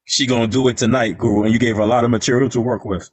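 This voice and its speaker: A man's voice: mid-range voice, steady tone, rapid-fire, darkish tone, no room sound, distant mic. A man on a livestream panel, bantering casually in a teasing way.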